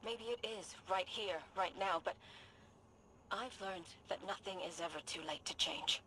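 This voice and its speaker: A woman's voice: medium pitch, measured pace, pushed and bright. Noisy ambience surrounds a sci-fi fighter in a video game. She is earnest and compassionate, with a mid-range, conversational sincerity. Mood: encouraging. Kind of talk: scripted video game dialogue.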